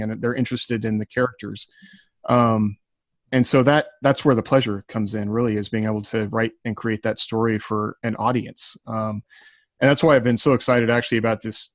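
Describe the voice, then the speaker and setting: A man's voice: mid-range voice, dry recording. A man talking casually on a writers' panel, giving advice in an earnest, encouraging way. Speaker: science fiction writer on a podcast panel.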